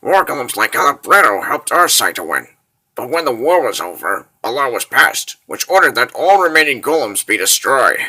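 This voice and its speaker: A man playing a wry, grumbling wizard in a fantasy comic dub, folksy, animated and full of wisecracks. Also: mid-range voice, moderate pace, full character voice, rough recording. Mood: wry, explaining.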